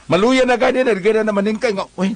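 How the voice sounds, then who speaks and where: A man's voice: high voice, quick pace. A male co-host with a Filipino Cebuano accent, giving advice in casual conversation. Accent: Filipino, Cebuano-speaking.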